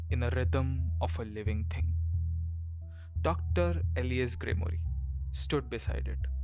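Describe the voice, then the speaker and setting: Slow-paced male storyteller over music. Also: medium pitch. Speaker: storyteller, narrator. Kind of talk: scripted audiobook narration. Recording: background music under voice.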